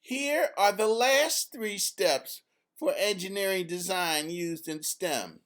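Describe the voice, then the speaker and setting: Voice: medium pitch, slow, fairly bright tone, voice pushed up. A storybook narrator voicing a confident girl scientist who teaches in a firm, friendly, emphatic way. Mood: instructive. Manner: emphatic, instructive, clearly enumerating.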